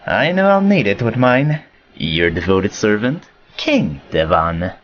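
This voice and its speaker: Man doing a flirty swaggering king, lively sing-song voice, dull lo-fi sound, faint hiss. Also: on the low side, slow. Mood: teasing. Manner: playful, teasing. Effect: magic orb message.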